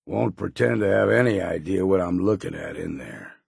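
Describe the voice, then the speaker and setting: An actor playing a grizzled old hard-drinking islander in a video game, with a gravelly, husky voice and a wry, folksy drawl. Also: male voice, low voice, expressive, moderate pace, darkish tone, dry recording, studio quality, full character voice. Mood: wry, easygoing. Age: older. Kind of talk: video game character voice lines.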